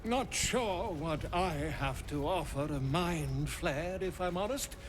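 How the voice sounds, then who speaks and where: A man's voice: a little high, slow, noisy background. An actor playing an awkward, kindly, self-doubting man in a video game, hesitant and wordy.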